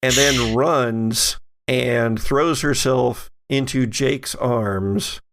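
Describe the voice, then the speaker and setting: A man's voice: medium pitch, extremely slow, a bit bright. A man narrating a tabletop roleplaying game as the game master.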